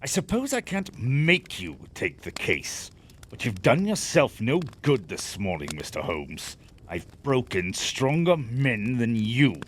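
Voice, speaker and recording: Proud wealthy businessman, hot-tempered, forceful, formal, very varied intonation, audio theatre. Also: mid-range voice, sing-song, takes it slowly, a little hiss or hum. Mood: angry, threatening.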